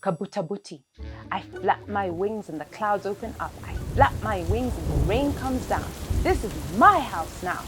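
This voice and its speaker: A mysterious, menacing creature played by a woman from a children's storybook. It chants slow, boastful threats with an animated, swinging pitch over clear background music. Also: close to an everyday voice.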